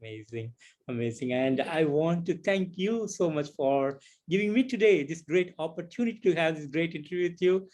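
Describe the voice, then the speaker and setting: A man's voice: a little high, lively intonation, normal speed, darkish tone. A man conducting a casual music interview, encouraging the guest with short repeated affirmations.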